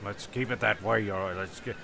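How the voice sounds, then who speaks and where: A man, narrating and voicing characters in a deadpan, exasperated way. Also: on the low side, fairly quick, vocal fry, a little hiss or hum. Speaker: narrator and show creator. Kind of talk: comedy narration.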